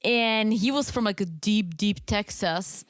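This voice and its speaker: A woman's voice: mid-range voice, measured pace, no echo, clean studio sound. A woman from the Czech Republic co-hosting a podcast in casual, playful banter. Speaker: podcast co-host. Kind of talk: casual banter and TV recap chat.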